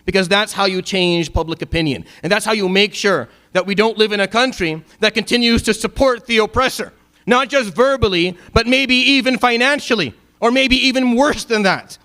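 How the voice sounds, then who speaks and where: A middle-aged man from Syria, giving a Friday sermon as an imam, impassioned at times and dropping low and wry at others. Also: normal speed, bright, forceful tone. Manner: impassioned, rising to emphatic peaks then dropping low and wry.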